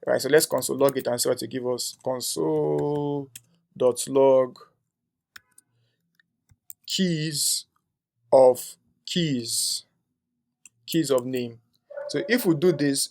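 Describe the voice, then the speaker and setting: A man's voice: medium pitch, steady tone, slow, gravelly, long pauses, a little mellow. A young man teaching a coding tutorial, thinking aloud as he types, with frequent "um"s and a tag "right?". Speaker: coding instructor. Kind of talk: screen-share coding tutorial.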